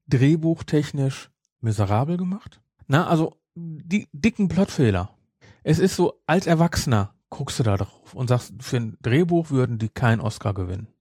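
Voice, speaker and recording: A man's voice: mid-range voice, warm tone, dry recording, clean studio sound. A middle-aged man chatting casually as a podcast co-host, opinionated and repeating phrases for emphasis. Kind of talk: casual review chat.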